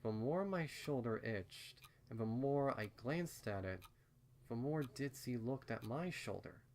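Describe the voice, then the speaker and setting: A man reading a first-person fan fiction story as narrator, in a measured, subdued manner. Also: medium pitch, lively intonation, a little mellow.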